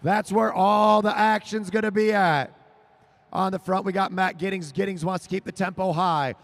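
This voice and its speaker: A man calling a track cycling race live, rising to shouts as the sprint builds. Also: sits high, moderate pace. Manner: excited, shouting names over the finish. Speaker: race announcer. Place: velodrome over a PA.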